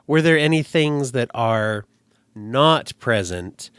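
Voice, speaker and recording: A man's voice: medium pitch, expressive, slow pace, fairly bright tone, clean tone, no room sound. A man from the United States hosting an interview, thinking aloud in a thoughtful, digressive way. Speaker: podcast host. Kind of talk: casual interview. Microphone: remote call.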